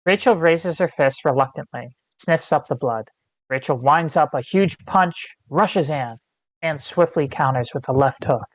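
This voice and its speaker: A man's voice: fairly high pitch, a little slow, no echo, rough recording. A man reading stage directions as narrator at a screenplay table read.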